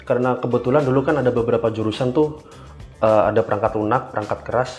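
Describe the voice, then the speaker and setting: A young man with an Indonesian accent giving casual explanatory answers as an interview guest, informal and halting as he thinks aloud. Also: mid-range voice, steady tone, darkish tone, faint music behind. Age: young adult. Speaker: interview guest, government cybersecurity engineer.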